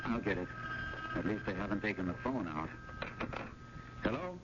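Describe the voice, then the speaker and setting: An actor playing an earnest, anxious museum curator in a 1970s radio drama, speaking with formal, insistent urgency. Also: male voice, lowish voice, sing-song, moderate pace, highs cut off. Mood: tense, relieved. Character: John, earnest, anxious male museum curator pursuing a painting. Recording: noisy, band-limited 1970s radio broadcast.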